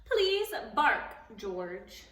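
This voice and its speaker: A woman voicing a calm, matter-of-fact male vet in a storybook reading. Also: mid-range voice, very slow, reverberant, recorded from a distance, pitched below the performer's own voice, full character voice.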